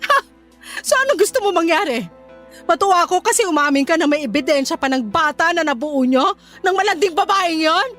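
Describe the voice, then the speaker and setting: High-pitched heartbroken, furious Filipino woman. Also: lively intonation, a little fast, fairly bright tone, soft background music. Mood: indignant, scornful. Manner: scolding, anguished, emotionally intense. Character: betrayed, furious, heartbroken young woman leaving her cheating boyfriend. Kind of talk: Filipino radio drama acting.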